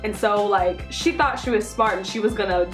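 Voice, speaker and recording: A woman's voice: medium pitch, normal speed, faint music behind. A young American woman telling personal stories in a casual, chatty, emphatic way, with lots of "like" as filler. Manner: animated, emphatic, peppered with "like". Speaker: vlogger.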